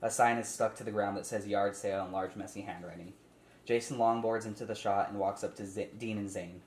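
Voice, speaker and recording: Echoey room, low male voice, relaxed script read-through, informal asides, faint noise. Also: fairly quick. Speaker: table read leader reading stage directions.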